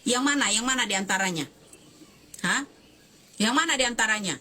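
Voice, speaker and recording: A woman's voice: on the high side, expressive, fairly quick, bright, forceful tone, a little hiss or hum. A woman in her fifties, a social media commentator, giving a fiery, emphatic statement that repeats phrases and demands answers. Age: 50s. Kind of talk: impassioned video statement.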